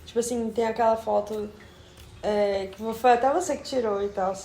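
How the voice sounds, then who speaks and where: A woman's voice: on the high side, fairly even intonation, a little fast, vocal fry, mellow. A young woman from Brazil, a Portuguese speaker, chatting playfully as a podcast co-host. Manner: playful, giggly. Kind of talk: casual chat between friends. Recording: roomy echo with faint background noise.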